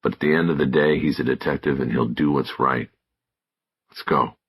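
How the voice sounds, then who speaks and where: A man's voice: a bass voice, fairly even intonation, moderate pace, a bit bright, slightly rough, exaggerated voice. A man voicing an earnest, self-doubting young female detective in audiobook dialogue. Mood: frank.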